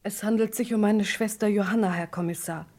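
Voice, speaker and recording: A woman's voice: medium pitch, steady tone, brisk, darkish tone, natural voice. A woman playing an earnest, grieving witness speaking to police in a German radio crime play. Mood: grave, sorrowful.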